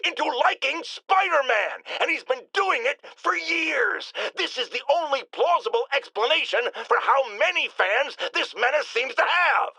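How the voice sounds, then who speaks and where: A man's voice: extremely high pitch, expressive, normal speed, vocal fry, hardly any pauses, sharp tone, dry recording, full character voice, low-quality recording. An actor playing a blustering, indignant middle-aged man who hosts an opinion radio show in a video game, pompous and theatrical. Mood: conspiratorial. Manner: pompous, theatrical, emphatic delivery. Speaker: talk radio host. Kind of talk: bombastic opinion radio monologue.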